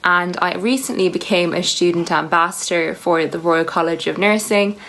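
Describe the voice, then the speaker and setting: A woman's voice: lowish voice, normal speed, a bit bright. A young Irish woman, a nursing student, introducing herself politely and evenly. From Ireland. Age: young adult. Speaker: nursing student, pageant contestant.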